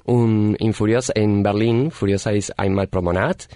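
A man in his thirties from Argentina, a guest speaking halting, with many fillers and self-corrections in a casual interview. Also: fairly low pitch, fairly even intonation, mellow, no room sound, clean studio sound. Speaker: guest, party organizer.

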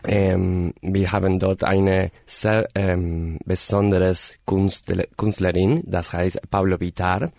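A man in his thirties from Argentina, a guest speaking halting, with many fillers and self-corrections in a casual interview. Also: low-pitched, little pitch movement, vocal fry, a little mellow, no room sound, studio quality. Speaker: guest, party organizer.

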